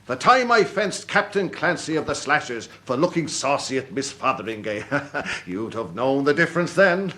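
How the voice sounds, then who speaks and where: An actor playing a boastful, effusive old Irish army captain and fencing master in a period radio drama, with a florid, proud manner. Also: male voice, mid-range voice, sing-song, moderate pace, few pauses, bright, forceful tone. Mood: boastful reminiscing. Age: older.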